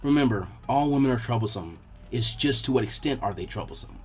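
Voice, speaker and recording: A man voicing a lazy, grumbling teenage boy ninja with a deadpan, unimpressed drawl in an audiobook-style story. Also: mid-range voice, a little fast, slightly rough, pitched below the performer's own voice. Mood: weary, skeptical.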